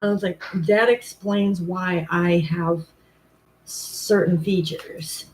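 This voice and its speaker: A woman's voice: fairly low pitch, fairly even intonation, takes it slowly, darkish tone, a little hiss or hum, rough recording. A woman tarot reader chatting casually on a livestream, rambling and correcting herself often, with a commanding voice. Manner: rambling, self-correcting, frequent "like" and "um".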